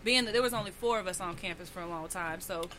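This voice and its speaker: A woman's voice: fairly low pitch, fast talker, music in the background. A young woman, telling her own experiences in a reflective way as a panelist. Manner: reflective, storytelling. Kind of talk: round-table discussion.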